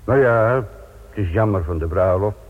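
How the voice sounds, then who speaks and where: An actor playing a weary, principled older police inspector in a radio drama, speaking in a gruff, plain way. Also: male voice, on the low side, measured pace, a little hiss or hum, lightly acted. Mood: resigned, relieved. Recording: muffled, phone-like old radio recording.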